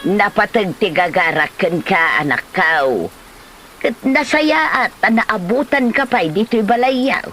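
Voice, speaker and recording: A woman's voice: mid-range voice, animated, takes it slowly, a bit bright. A woman playing an older mother who admonishes her grown son in a comedy audio drama. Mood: reproving. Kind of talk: comedy radio-style drama acting.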